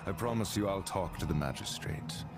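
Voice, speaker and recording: Deep-voiced earnest adventurer. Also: male voice, expressive, moderate pace. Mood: compassionate. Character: earnest, compassionate male adventurer hero. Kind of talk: video game character acting. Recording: music behind the voice.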